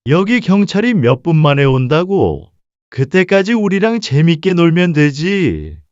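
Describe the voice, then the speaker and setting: A man's voice: on the high side, very animated, unhurried, a little mellow, no room sound, dull audio. A man playing a menacing, mocking street thug in a narrated story, with a swaggering, sneering manner.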